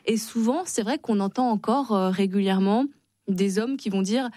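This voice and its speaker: A woman's voice: medium pitch, moderate pace, warm tone, no echo, clean studio sound. A woman hosting live radio, presenting and interviewing in a courteous, composed way. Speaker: radio host.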